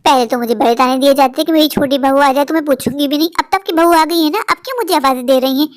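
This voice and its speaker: A tired young mother, played by a woman, who has turned cold and defiant toward her mother-in-law. Her voice is high and clipped, with sharp, bitter comebacks. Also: fast, close to an everyday voice. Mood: irritated.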